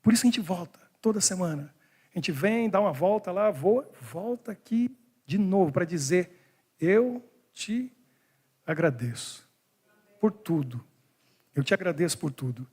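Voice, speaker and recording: Husky high-voiced male pastor preaching. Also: animated, a little slow, long pauses, darkish tone. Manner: conversational, talks to the congregation directly. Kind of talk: sermon. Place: church hall in Brussels.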